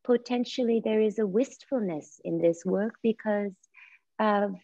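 A woman in her forties whose first language is Japanese, speaking as a visual artist on a video call, soft and reflective with many pauses and restarts. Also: medium pitch, no echo. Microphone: laptop or phone camera on video call. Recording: muffled lo-fi video call audio.

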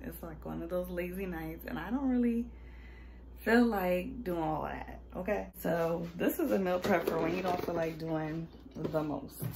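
A woman's voice: fairly low pitch, vocal fry, noisy background. A young adult woman with an American accent, chatting casually to camera in an informal, slangy way as a vlogger.

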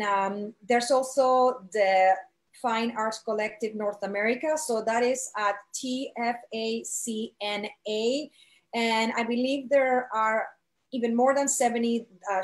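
Woman instructor explaining in halting phrases. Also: a little high. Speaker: art college instructor giving a product demonstration. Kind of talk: live instructional demo, explanatory.

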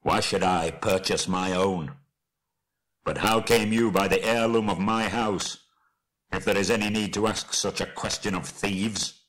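Man playing a proud, wrathful dwarf king, husky and low. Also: unhurried, fairly bright tone, low-quality recording. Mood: proud, defiant. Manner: imperious, bitter, cursing.

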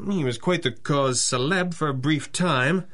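Composed, higher-voiced masked hero. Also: male voice, a bit bright. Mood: measured explaining. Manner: formal, measured, precise. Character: composed, analytical masked crime-fighter, the boss. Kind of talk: 1930s radio drama acting.

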